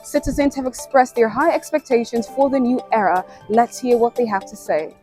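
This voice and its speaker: An actress playing a news reporter delivering a bulletin. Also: female voice, on the high side, brisk, warm tone, faint music behind, lightly acted. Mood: neutral.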